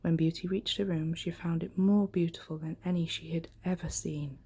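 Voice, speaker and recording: A woman reads a bedtime story in soft-spoken ASMR style. Her voice is low, mellow and breathy, often slipping toward a whisper. The delivery is gentle and unhurried. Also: steady tone.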